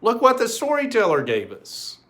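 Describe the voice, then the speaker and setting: A man's voice: extremely high pitch, huge pitch swings, normal speed, fairly bright tone, voice pushed up, exaggerated voice. A man voicing eager, pleading small-town children in a storybook. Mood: excited.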